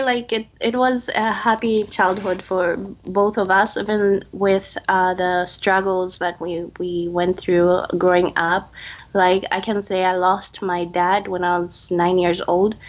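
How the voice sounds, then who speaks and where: A woman from Zambia with a lowish, even voice speaks very slowly and softly in a relaxed interview. The audio sounds muffled, like a remote call. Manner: soft-spoken, unhurried, with light laughs. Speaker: guest, public health student.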